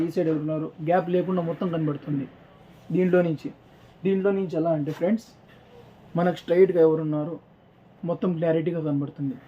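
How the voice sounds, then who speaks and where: A man gives an informal, explanatory product demonstration, repeating points as he addresses viewers. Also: on the high side. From South India. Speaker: presenter for a security systems shop. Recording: dull lo-fi sound with music behind.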